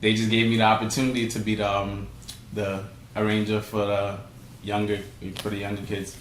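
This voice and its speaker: A man's voice: on the low side, completely flat delivery, normal speed, slight background noise. A young man from Trinidad answering interview questions, hesitant, with many fillers and restarts. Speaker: guest, steelpan arranger. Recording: echoey room, faint ambience.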